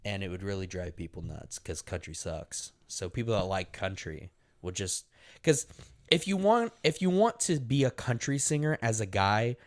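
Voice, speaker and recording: A man's voice: fairly low pitch, lively intonation, normal speed. Laughing young man on a podcast. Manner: laughs often, restarts sentences. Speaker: podcast co-host. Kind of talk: casual chat.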